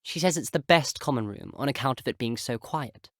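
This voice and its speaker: A teenage English wizard student for a video game, well-mannered and a touch haughty. His delivery is wry and expressive with lively, swinging intonation. The sound is close and dry. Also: medium pitch, a little fast, dry recording. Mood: conversational, wry. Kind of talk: video game character voice clips.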